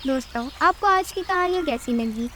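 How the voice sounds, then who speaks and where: A woman's voice: high voice, expressive, normal speed, sharp tone, slight background noise. A woman reading scripted narration for a children's cartoon moral story.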